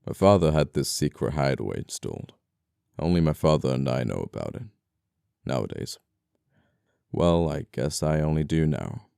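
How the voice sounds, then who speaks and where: A very low, gravelly male voice, an actor's, plays a gentleman killer in an audio roleplay. He is amoral yet apologetic and flirty, menacing his captive with unhurried, wry courtesy. The sound is dark and dry, close on the mic. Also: sing-song, no echo, heavily acted. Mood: smug confiding.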